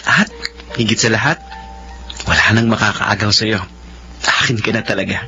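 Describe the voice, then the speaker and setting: A man's voice: fairly low pitch, moderate pace, pushed and bright, muffled recording, faint music behind. A man playing a devoted young groom in a romantic radio drama, speaking earnestly and tenderly. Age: young adult.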